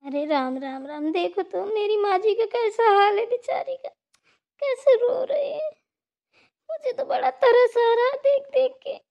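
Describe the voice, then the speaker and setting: A performer playing a kind young village daughter-in-law who gently consoles the grandmother. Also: extremely high pitch, unhurried, creaky, full character voice. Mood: pitying. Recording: dull lo-fi sound.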